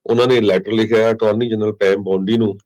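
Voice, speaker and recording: A man hosting a radio talk show, discussing news somewhat haltingly with English terms mixed in. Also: lowish voice, flat delivery, darkish tone.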